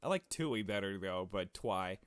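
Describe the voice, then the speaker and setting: A man's voice: sits low, lively intonation, unhurried, a bit bright, clean tone, no echo, studio quality. A man giving casual, chatty let's play commentary, with playful asides as he reads a visual novel game aloud. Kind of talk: casual game commentary mixed with read-out dialogue. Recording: game music behind the voice.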